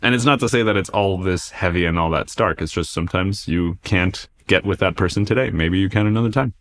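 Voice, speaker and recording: A man's voice: deep voice, brisk, fairly bright tone, a little hiss or hum. A man casually reflecting on his own life in a thoughtful way.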